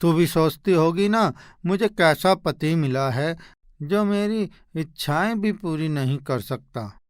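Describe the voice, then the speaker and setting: Man as poor woodcutter husband, cartoon moral tale, earnest, plain-spoken, higher-pitched, very dry sound. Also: animated, takes it slowly, clear, resonant tone, no echo. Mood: dejected, reluctant. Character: humble poor woodcutter husband, devoted and modest. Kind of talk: Hindi animated moral story acting.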